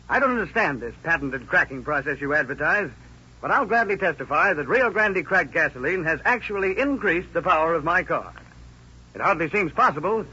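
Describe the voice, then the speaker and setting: An actor playing an enthusiastic trailer tourist giving an earnest testimonial in a 1930s radio advertisement. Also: male voice, high-pitched, pushed and bright, slightly rough, heavily acted. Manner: earnest, declamatory. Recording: dull, lo-fi 1930s radio recording.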